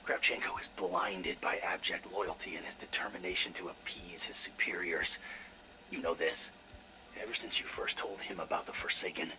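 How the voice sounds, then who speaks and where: An actor playing an irritable, sarcastic, foul-mouthed scientist in a video game audio log. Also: male voice, low voice, steady tone, moderate pace, bright, forceful tone, a little hiss or hum, rough recording. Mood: sly, conspiratorial. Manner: sarcastic, exasperated, profane.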